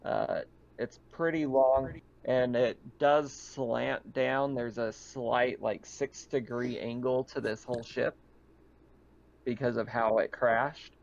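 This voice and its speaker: A man's voice: mid-range voice, steady tone, takes it slowly, creaky, dull audio. A man acting as game master, narrating a tabletop roleplaying game in a casual, explanatory way.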